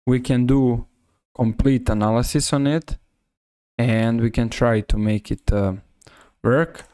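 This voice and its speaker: A man with a Serbian accent giving live chess commentary on a stream, halting and often rephrasing himself. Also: mid-range voice, mellow, smooth, no echo.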